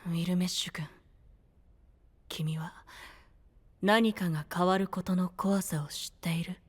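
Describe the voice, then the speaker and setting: A man voicing a frail, gentle young male knight who speaks softly and half in whispers, over background music. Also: fairly high pitch. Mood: solemn. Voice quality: soft, breathy, often whispered. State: weak and tired. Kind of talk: anime voice drama acting.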